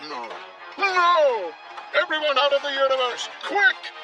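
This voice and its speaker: An actor playing a doddering, very old male professor who bursts out in shrill panic. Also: lively intonation, measured pace, highs cut off, over loud music. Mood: panicked.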